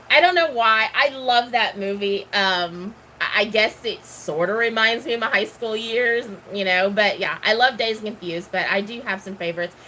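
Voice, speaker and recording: A middle-aged woman chats casually to her viewers, working through a list of questions. Her voice is bright and forward, at an ordinary pitch for a woman. She rambles, filling pauses with ums and let's sees. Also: a little hiss or hum, rough recording. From Houston, Texas. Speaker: gardening vlogger. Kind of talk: casual chat answering questions.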